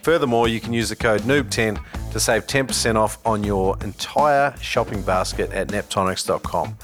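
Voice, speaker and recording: A man's voice: lowish voice, normal speed, soft background music. New man hosting a podcast. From New Zealand. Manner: relaxed, hesitant, many fillers and restarts. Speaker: podcast host. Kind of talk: casual interview chat and ad reads.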